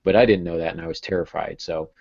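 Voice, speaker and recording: A man's voice: low voice, fast, gravelly, a little mellow. A man in his thirties hosting a casual podcast discussion about writing, recorded over a video call at home. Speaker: podcast host. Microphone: earbud headset. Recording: dull, lo-fi video-call audio.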